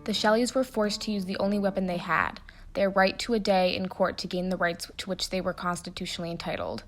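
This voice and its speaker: Female reader, a little low in pitch, for a dramatic speech reading with deliberate emphasis and soft music behind. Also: steady tone.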